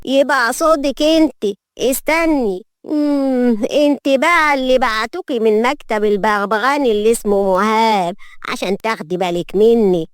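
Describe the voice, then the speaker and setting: A woman's voice: fairly high pitch, normal speed, dry recording, studio quality, voice pushed up, lightly acted. A woman with an Egyptian accent voicing a cantankerous, imperious old lady of the house, scolding and drawling in an audio story. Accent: Egyptian Arabic.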